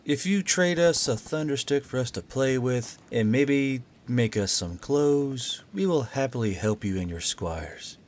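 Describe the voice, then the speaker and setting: A man voicing a calm, sly female harpy warrior leader in a story, speaking quietly and deliberately. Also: mid-range voice, lightly acted. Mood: coaxing.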